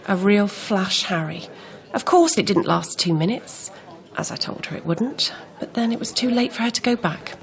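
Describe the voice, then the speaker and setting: A woman's voice: mid-range voice, moderate pace, vocal fry, close to an everyday voice. An older woman acting a forthright, disapproving aunt with a grievance in a naturalistic radio drama. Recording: murmur of a crowd behind.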